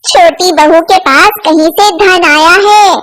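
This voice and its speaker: A woman's voice: very high voice, takes it slowly, a bit bright, voice pushed up, full character voice. A woman voicing a jealous, demanding elder sister-in-law in a cartoon folk tale, with a scheming manner.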